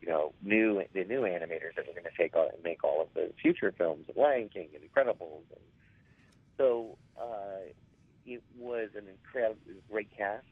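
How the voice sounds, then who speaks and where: A man in his forties with a raspy, hoarse voice, telling stories as an interview guest and rambling with many fillers and restarts. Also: deep voice, moderate pace, no echo. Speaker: actor guest. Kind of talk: casual interview storytelling. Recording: tinny phone line.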